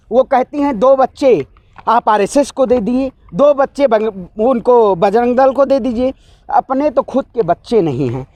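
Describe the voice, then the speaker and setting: A man speaks in a very high voice, recorded dry. He is combative and emphatic, delivering a heated political rant as an interviewee and repeatedly challenging the listener with rhetorical questions. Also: dry recording.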